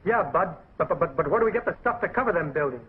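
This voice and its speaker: A man's voice: a little high, expressive, fast, husky. A man voicing an eager, flustered, slangy young cartoon soldier in 1940s style. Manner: stammering, slangy. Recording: muffled, tinny 1940s film soundtrack.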